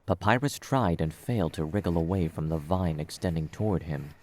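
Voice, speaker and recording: A man with a low voice narrates a piece of fiction. He reads scripted prose as the storyteller of an audio drama. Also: brisk, noisy background.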